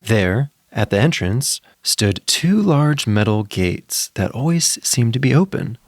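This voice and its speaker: A man narrating a scripted story as a podcast storyteller, in a gentle storybook way with deliberate phrasing. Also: mid-range voice, sing-song, no room sound, studio quality. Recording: music behind the voice. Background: music.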